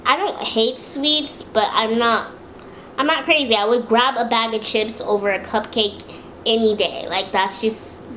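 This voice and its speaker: A young woman with a high-pitched voice, chatting casually to camera in a home video and talking about herself. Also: a little hiss or hum. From Los Angeles, USA. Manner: chatty, relaxed, with many fillers and restarts. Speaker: beauty vlogger.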